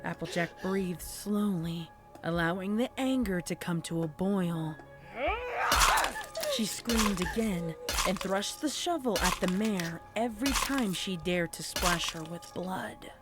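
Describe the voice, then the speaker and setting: A woman's voice: fairly low pitch, expressive, slow pace, loud music behind the voice. A woman reading a scripted horror story as a dramatic narrator.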